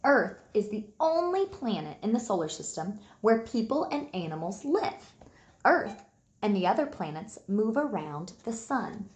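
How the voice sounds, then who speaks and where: A woman teacher reading aloud and giving a simple lesson to young children, enunciating key words deliberately. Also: medium pitch, sing-song, a little mellow. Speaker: early elementary school teacher. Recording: echoey room sound.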